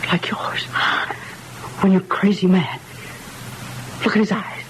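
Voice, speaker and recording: A man playing a weary, uneasy husband in an old-time radio horror drama, speaking in a halting, tense way. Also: sits high, fairly even intonation, fairly bright tone, in a whisper, low-quality recording. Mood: horrified, hushed.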